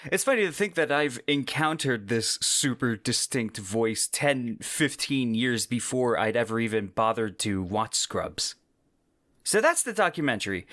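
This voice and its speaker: Male podcast host, mid pitch, enthusiastic and conversational, very dry sound. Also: a bit bright, no room sound. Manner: enthusiastic, informal. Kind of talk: casual solo podcast commentary.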